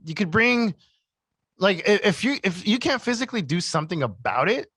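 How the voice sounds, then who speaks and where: Brief: rambling, laughing male podcast co-host, bright and animated, close dry mic. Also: fairly quick, breathy edge, no echo. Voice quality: high-pitched voice. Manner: laughs a lot, rambling, self-deprecating. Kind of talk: casual chat. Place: radio studio. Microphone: studio mic.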